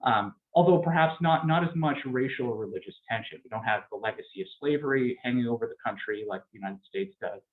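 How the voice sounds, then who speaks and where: A man giving an academic political science lecture, explaining in a measured way with frequent fillers. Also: mid-range voice. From Canada. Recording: tinny phone-like lecture recording.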